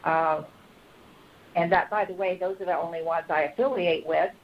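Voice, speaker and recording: Low, even-voiced woman on a noisy phone. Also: normal speed. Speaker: caller. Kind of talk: earnest, polite conversation. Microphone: telephone. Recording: dull, noisy phone conference line.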